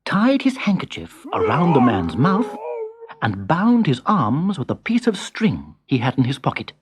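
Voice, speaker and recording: A man narrating a children's story in an expressive, dramatic storytelling style. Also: mid-range voice, a little slow.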